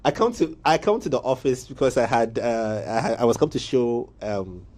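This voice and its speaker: A man's voice: medium pitch, normal speed. Man hosting a morning show. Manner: lively, storytelling with laughs. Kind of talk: casual studio interview. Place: TV studio.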